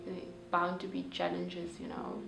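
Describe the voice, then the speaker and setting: A woman's voice: on the low side, monotone, a little slow, creaky. A young woman, sharing heartfelt, hesitant personal reflections. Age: young adult. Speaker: young reader reacting to a story. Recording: roomy, distant, with music behind.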